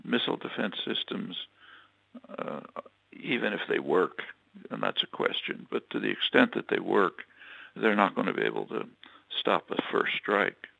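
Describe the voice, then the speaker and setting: Gravelly low-voiced man, halting analysis. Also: pushed and bright, dry recording, muffled recording. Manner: halting, many fillers and restarts. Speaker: interviewee, political analyst. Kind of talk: measured spoken analysis, answering questions.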